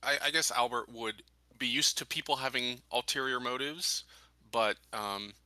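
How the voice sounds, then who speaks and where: A man's voice: mid-range voice, moderate pace, sharp tone, no room sound. A man talking casually as a player in a tabletop roleplaying game, thinking aloud hesitantly as he goes.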